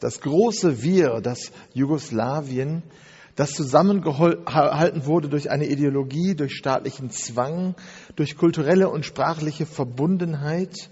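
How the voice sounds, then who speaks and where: A man lecturing in a measured, methodical way, building his points through piled-up phrases. His voice is dark and a little husky, and it sounds dull as it rings through a hall with long reverb. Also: medium pitch. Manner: measured, listing phrases one after another with occasional fillers. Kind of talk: spoken lecture.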